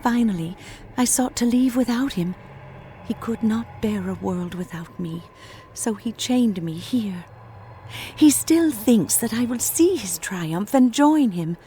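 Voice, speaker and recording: An actress playing a gentle, sorrowful goddess of spring in a video game, speaking in a stately, formal manner. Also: female voice, a little high, animated, measured pace, slight background noise. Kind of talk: scripted game cutscene acting. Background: music.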